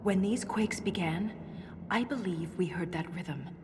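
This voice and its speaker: A woman's voice: fairly low pitch, unhurried, noisy background, natural voice. Woman acting an earnest dwarven scholar in cavernous reverb. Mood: intrigued. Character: earnest female dwarven scholar exploring underground ruins. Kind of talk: video game cutscene acting. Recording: long cavernous reverb, music underneath.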